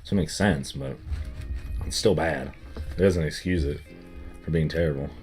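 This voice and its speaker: A young man with a faint Southern US lilt and a very low, deep voice talks in a loose, rambling way, full of fillers. It is a casual chat recorded with soft music underneath. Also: moderate pace. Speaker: co-host.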